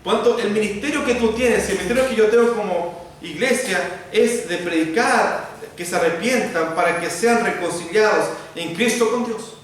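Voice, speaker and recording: A Chilean pastor preaching an expository sermon, addressing the congregation directly in repeated parallel phrases. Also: male voice, sits high, normal speed. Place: church sanctuary. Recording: very echoey church, voice from far off, faint noise.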